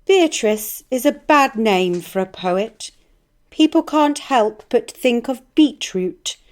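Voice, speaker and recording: High, very slow, plaintive woman poet. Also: expressive, a little mellow. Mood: plaintive, mournful. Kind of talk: radio drama acting.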